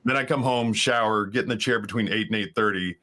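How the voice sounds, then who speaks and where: A man in his thirties with a baritone voice, a streamer telling rambling, self-deprecating stories as a podcast guest. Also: fast talker, bright, forceful tone. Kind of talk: casual podcast chat.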